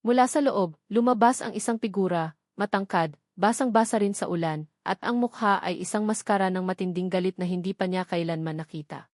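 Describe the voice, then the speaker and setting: A woman's voice: medium pitch, fairly quick, a little mellow, no room sound. Woman narrating a dramatic story, dry sound.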